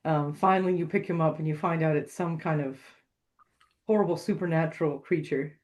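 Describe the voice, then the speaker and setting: Podcast co-host, medium pitch, casual and easygoing, lightly teasing conversational delivery. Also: brisk.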